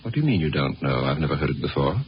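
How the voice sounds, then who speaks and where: A jealous Martian husband in a vintage radio play. His voice is very low and swings widely as he probes and snaps, bad-tempered and controlling. The old recording sounds dull. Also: lively intonation, very fast, slight background noise. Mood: irritated. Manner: probing, curt, bad-tempered. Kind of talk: 1950s radio drama acting.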